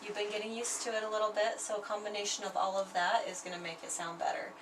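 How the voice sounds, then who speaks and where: A woman's voice: mid-range voice, flat delivery, quick pace, far from the mic, background noise. A woman audiologist patiently explaining device settings to a patient, checking often that she understands. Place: clinic room.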